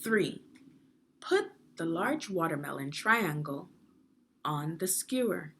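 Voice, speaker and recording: A woman leads a guided reading lesson for children in a fairly low voice with a slightly husky, creaky edge. She phrases each part deliberately and instructively, pausing to pose questions to young readers. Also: animated, fairly bright tone.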